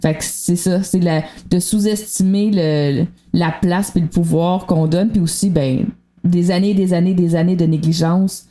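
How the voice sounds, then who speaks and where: Mellow, low-pitched Quebec woman chatting. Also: fairly even intonation, unhurried. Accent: Quebec French. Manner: colloquial, thinking aloud. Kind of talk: casual after-show discussion.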